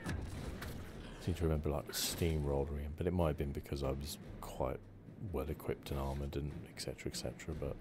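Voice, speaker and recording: A man giving relaxed ASMR game commentary, musing and rambling with a hesitant delivery. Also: very deep voice, fairly quick, background noise. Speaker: gaming channel host.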